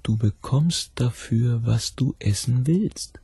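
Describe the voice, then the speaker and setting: An actor playing a persuasive, insistent recruiter with a husky, gravelly voice in a radio drama. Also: male voice, mid-range voice, takes it slowly, dark tone, dry recording, exaggerated voice. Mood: coaxing. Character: persuasive, insistent recruiter plying a villager with drink.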